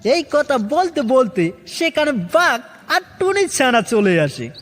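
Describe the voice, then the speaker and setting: A man narrates a children's cartoon story in an unusually high voice. His pitch swings in a lively way, and long reverb trails his words. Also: takes it slowly. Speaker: narrator and channel host. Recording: heavy reverb with music.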